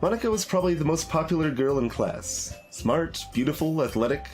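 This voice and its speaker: Lively man reading a game aloud. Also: a little high, fairly quick, soft background music. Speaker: livestreamer playing a game. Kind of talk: reading visual novel dialogue aloud with casual commentary.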